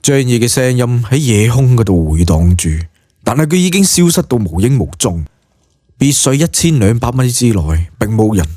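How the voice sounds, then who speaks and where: A man's voice: medium pitch, warm tone, no room sound, clean studio sound. A man with a Cantonese accent narrating an audiobook story in a dramatic, colloquial storytelling style.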